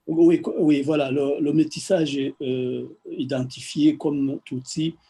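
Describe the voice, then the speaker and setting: A man interviewing an author, asking his questions haltingly with many pauses. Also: on the high side, flat delivery, warm tone, slightly rough.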